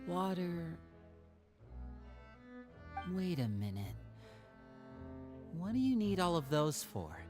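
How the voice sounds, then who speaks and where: An actor playing a charming young male magician who is a protective older brother, speaking smoothly and coaxing answers out of his sibling in a video game. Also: high-pitched, heavily acted. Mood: probing. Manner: smooth, coaxing, gently probing.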